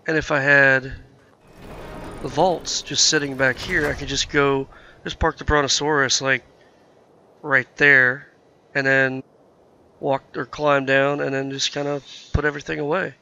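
A man's voice: medium pitch, flat delivery, a little slow, vocal fry, long pauses, pushed and bright. Sick, nasal-sounding male gamer. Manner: rambling, thinks aloud with many fillers. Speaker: gameplay commentator. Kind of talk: casual let's-play commentary.

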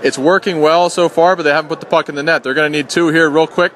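Male color analyst at a rinkside, brisk and slightly high, light background noise. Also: fairly even intonation, fairly bright tone. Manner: quick, conversational, with fillers. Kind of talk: radio sports analysis. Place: between the glass at an ice rink.

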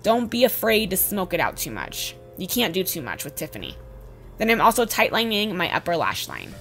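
A woman in her twenties with a slightly hoarse voice, giving a casual, chatty makeup tutorial voice-over. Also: on the low side, wildly swooping pitch, normal speed, fairly bright tone. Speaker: beauty vlogger, host. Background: background music.

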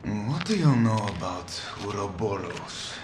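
A slow, guarded arms contact. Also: male voice, on the low side, very animated. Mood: businesslike. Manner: curt, deliberate. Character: guarded, curt male local arms contact. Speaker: game character, local contact. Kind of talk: cinematic game acting. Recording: echoey room, clear background noise.

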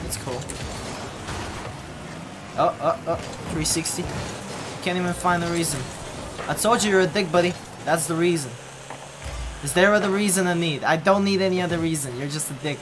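A man's voice: normal speed, pauses often, noisy background. Young man streaming, blunt and mumbling. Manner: blunt, talks back to chat, low mumbled asides. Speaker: game streamer. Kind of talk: casual live-stream chat while playing. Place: home room at a desk. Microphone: headset. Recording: game music playing loudly underneath. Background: game music.

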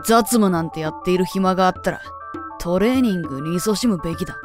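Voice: medium pitch, fast talker, natural voice. A performer acting a haughty, hot-headed, unfriendly teenage boy with a curt, scornful manner, in an amateur voice drama. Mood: cold, dismissive. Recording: dry, faint music underneath.

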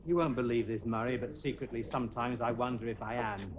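A man's voice: mid-range voice, brisk, a crowd in the background, lightly acted. A man in his thirties playing a fussy, predictable husband who doubts himself, in an earnest, flustered 1980s sitcom way. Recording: dull lo-fi TV audio with hiss.

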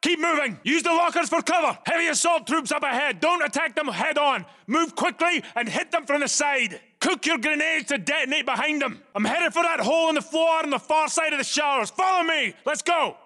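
A man's voice: extremely high pitch, expressive, brisk, few pauses, bright, forceful tone, dry recording, studio quality, full character voice. A man playing a battle-hardened Scottish special forces squad leader in a video game, giving terse, urgent military orders. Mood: shouting, urgent.

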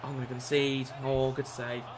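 A young man in his twenties with a croaky voice, chatting and swearing through a live football game stream from a small room. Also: medium pitch, little pitch movement, brisk. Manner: swears often, shouts and laughs at the game. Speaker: gaming streamer. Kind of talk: live gameplay chat.

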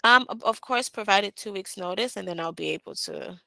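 A young woman answering job interview questions over a video call on a headset, pausing often with "um". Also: mid-range voice, creaky, pushed and bright, no room sound. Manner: hesitant, many "um" fillers. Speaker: job candidate. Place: small indoor room.

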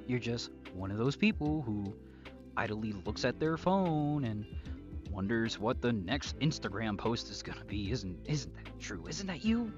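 A man playing a possessive, psychopathic captor lover in an intimate audio roleplay, mockingly sweet and taunting, moving between softness and sharpness. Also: mid-range voice, very animated, lo-fi sound, music in the background. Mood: mocking, irritated. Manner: drawn-out, taunting, swinging between soft whispers and sharp outbursts.

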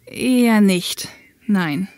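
Woman acting proud cornered pilot lady in a radio play, defiant, medium pitch. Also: drawn-out words, a little mellow, no room sound. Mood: guarded. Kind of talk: German audio drama acting.

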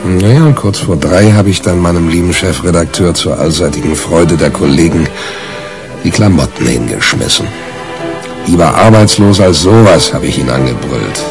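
An actor playing a brash, hungover reporter in a 1980s radio crime drama, colloquial, blustery and hesitating. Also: male voice, low voice, expressive, normal speed, music in the background. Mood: wry, confiding. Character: brash, hungover, cynical male reporter, scheming and combative. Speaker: lead actor and narrator in a crime radio play.

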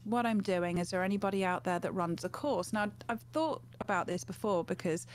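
A woman's voice: mid-range voice, a little fast. Chatty middle-aged woman, noisy line. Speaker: guest, gaming streamer. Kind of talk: casual conversational interview, anecdotal. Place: small home room, indoors, on a video call. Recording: clear background noise with hum.

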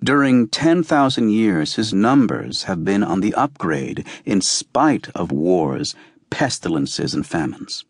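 Low-voiced male audiobook narrator, dry sound. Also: big pitch swings, slow pace, breathy edge, dry recording. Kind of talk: scripted literary narration.

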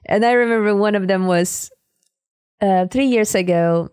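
A woman's voice: medium pitch, moderate pace, darkish tone, smooth, dry recording, clean studio sound. A woman from the Sakha Republic, a native Sakha speaker with a Sakha accent, talking as a podcast guest and often searching for words with fillers. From Sakha Republic, Siberia. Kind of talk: conversational interview.

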